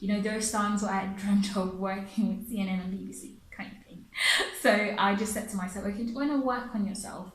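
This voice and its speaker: A woman's voice: medium pitch, fairly even intonation, brisk, a little hiss or hum. Chatty woman teacher in an echoey room. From Africa. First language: not English. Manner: chatty, laughs often, repeats phrases. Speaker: pronunciation teacher. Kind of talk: casual vlog answering viewer questions.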